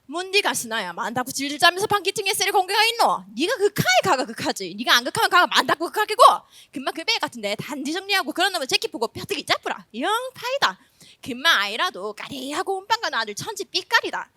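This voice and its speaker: A brash woman fires off blunt, rapid scolding in a heavy Gyeongsang accent, as a woman puts her on. The voice sits high and swings widely. It sounds bright and sharp. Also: very animated, no room sound, clean studio sound, heavily acted. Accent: Gyeongsang dialect.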